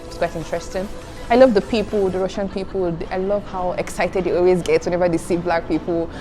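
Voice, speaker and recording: Chatty young woman, lowish voice. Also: fairly even intonation, fairly quick. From Nigeria. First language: Igbo. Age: young adult. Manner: chatty, addresses viewers as guys, giggles between answers. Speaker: student vlogger answering questions. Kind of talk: casual chat to viewers. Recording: background music under voice.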